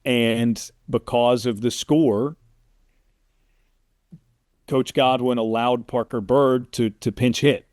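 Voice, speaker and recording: A man's voice: medium pitch, steady tone, slow, long pauses, darkish tone, no room sound, clean studio sound. A man who works as a sports announcer, telling stories informally as an interview guest and often checking in with the listener. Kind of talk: casual interview storytelling.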